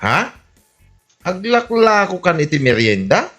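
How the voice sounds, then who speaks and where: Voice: mid-range voice, sing-song, measured pace, a bit bright, faint music behind. A performer playing a teasing, nosy neighbour with blunt advice and an Ilocano accent in a radio comedy drama.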